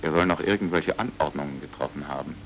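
A man's voice: rumbling low, quick pace, vocal fry. An actor playing a dogged, earnest man who politely but insistently questions people in a German radio crime drama. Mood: probing. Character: dogged, earnest foreign man investigating a friend's death. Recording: old radio recording with background noise.

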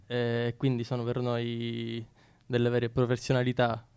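A man answers as a guest in a casual interview. His voice is smooth and clean, with a fairly flat melody as he explains things. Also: mid-range voice, measured pace. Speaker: theatre technician and set builder, interviewed guest.

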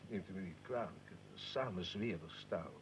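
An actor playing a blunt yet deferential conspirator officer in a radio drama, formal and quick to apologise. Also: male voice, low-pitched, slight background noise, natural voice. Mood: apologetic, dry.

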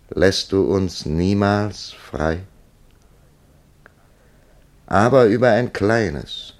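An actor playing a thoughtful writer who narrates in a radio drama, with a gravelly voice and a measured, deliberate delivery. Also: male voice, very deep voice, a little mellow, full character voice. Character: thoughtful male writer narrating his own puzzling encounter. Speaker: radio play lead and narrator. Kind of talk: German radio drama acting.

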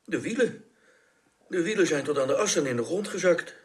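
Eager astronaut for radio drama, male actor: talkative, expressive, lightish pitch, very lively delivery. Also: a little fast, lightly acted. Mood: concerned.

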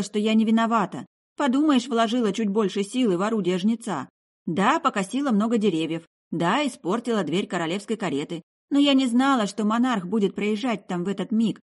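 A woman voicing an odd, chatty young sorceress in an audiobook, breezy and quick to take offence. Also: on the high side, pitched above the performer's own voice. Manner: breezy, indignant, talkative.

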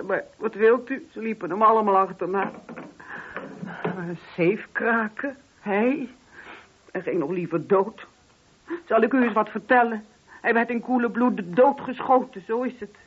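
A woman's voice: mid-range voice, husky. A woman playing a talkative, grieving older mother in a radio drama, speaking colloquially and emphatically. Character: chatty grieving older mother of four sons, indignant. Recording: dull, muffled old radio drama recording.

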